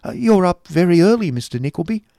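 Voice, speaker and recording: A fussy, talkative middle-aged woman painter, as a man puts her on, her voice lifted high and fluttering as she chatters away. She gushes and exclaims over every little thing. The sound is close and dry. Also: animated, fast talker, gravelly, mellow, no echo. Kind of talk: audiobook character voice.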